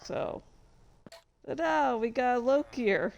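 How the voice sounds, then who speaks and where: A woman giving casual, rambling commentary as a writer, halting and self-deprecating, with some swearing. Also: a little high, lively intonation, creaky, a little mellow, slight background noise. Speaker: writer reading and commenting on own story.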